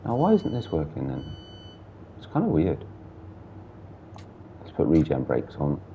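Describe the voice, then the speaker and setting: Very deep gravelly man. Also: wildly swooping pitch, unhurried, lo-fi sound, a little hiss or hum. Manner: chatty, muttering through cab controls. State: sore throat, blocked nose. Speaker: gameplay streamer. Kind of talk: casual train sim commentary.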